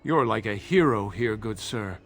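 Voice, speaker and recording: A man's voice: fairly low pitch, lively intonation, unhurried, creaky, soft background music. A man acting as an ingratiating street beggar in a video game, pleading obsequiously and playing up his hardship. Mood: wheedling.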